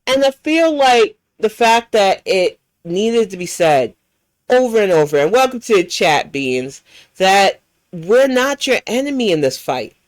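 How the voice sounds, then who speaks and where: A woman's voice: mid-range voice, sing-song, measured pace, no room sound. An American woman hosting a livestream, reacting to clips in a blunt, profane, heated rant. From United States. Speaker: livestream host.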